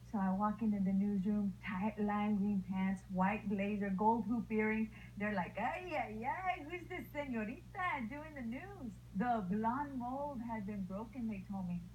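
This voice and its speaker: A woman who was a TV news anchor and grew up in El Paso, Texas, telling a personal story with lively quoted voices. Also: fairly high pitch, moderate pace, highs cut off, a little hiss or hum. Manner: lively, acts out the voices of others.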